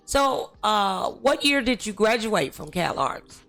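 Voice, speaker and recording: Lady hosting a chat, really slow n halting, creaky voice, faint music. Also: medium pitch, animated, fairly bright tone. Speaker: talk show host, relative of the guest. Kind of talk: casual interview chat, storytelling.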